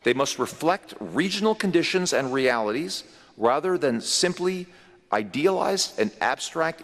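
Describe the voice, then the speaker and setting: A man delivering a formal prepared speech as a government official, measured and emphatic, with deliberate pauses. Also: medium pitch, a bit bright. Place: large conference hall. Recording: long reverb of a large hall.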